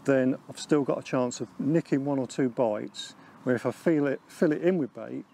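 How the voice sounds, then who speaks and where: A middle-aged man, an angling expert, explaining his methods in a measured, instructional way outdoors by a lake on a lapel mic. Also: mid-range voice, vocal fry, warm tone. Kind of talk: instructional piece to camera.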